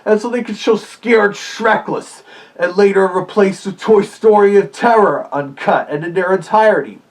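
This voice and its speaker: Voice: mid-range voice, moderate pace. A reviewer giving casual, scripted commentary in the character of a fuzzy cartoon animal, opinionated and prone to rambling asides. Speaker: video reviewer.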